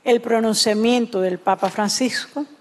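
A woman interpreting a sermon line by line, brisk and even, sometimes correcting herself mid-phrase. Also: on the high side.

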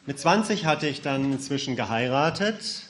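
A man's voice: medium pitch, lively intonation, moderate pace, a bit bright, a little hiss or hum. A man interpreting a speaker's testimony phrase by phrase, matter-of-fact, with occasional hesitation sounds. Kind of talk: consecutive interpreting.

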